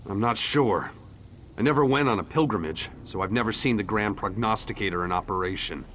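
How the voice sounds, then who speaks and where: A man's voice: lowish voice, fairly bright tone, slight background noise, close to an everyday voice. A man playing a wry, sceptical townsman in conversational video game dialogue. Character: wry, sceptical male townsman seeking his fate. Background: faint game music.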